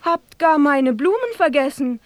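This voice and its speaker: A young princess in a fairy-tale audio drama, forthright, lovestruck and playful. Her voice is very high and she speaks slowly, lilting through rhymed verse in a dry, close sound. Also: animated, no echo. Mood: tender, teasing. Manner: theatrical, lilting verse delivery.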